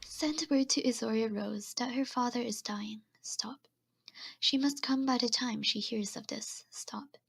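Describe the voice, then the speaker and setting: A woman playing a meek young servant girl in a period audio drama, with breathy, hesitant, polite delivery. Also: a little high, measured pace, full character voice. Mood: careful reading.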